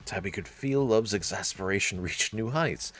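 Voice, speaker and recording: A man's voice: lowish voice, animated, moderate pace, fairly bright tone, rough recording. A man narrating a visual novel in a storytelling style. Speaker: narrator and let's-play reader. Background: faint music.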